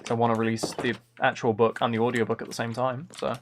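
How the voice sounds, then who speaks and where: Creaky-voiced man chatting casually on a livestream. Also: mid-range voice, flat delivery, fast. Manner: muttering to himself between replies to chat. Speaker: live streamer. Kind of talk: casual chat while playing a video game on a livestream. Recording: faint noise with keyboard clicks.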